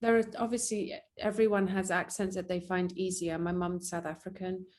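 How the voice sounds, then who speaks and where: A woman's voice: on the low side, flat delivery, normal speed, darkish tone. An English actress in a casual interview, speaking candidly and a little self-deprecatingly about her work. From England. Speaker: actress and guest.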